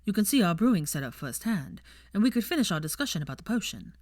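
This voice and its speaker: A woman voicing a proud, guarded young man, a wizard in an audiobook, in a measured, formal manner. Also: medium pitch, sing-song, darkish tone, dry recording, clean studio sound. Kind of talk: audiobook character voice.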